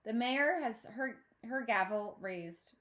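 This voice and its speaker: A woman's voice: a little high, lively intonation, slow pace, a bit bright. A woman reading a children's novel aloud expressively, like a teacher.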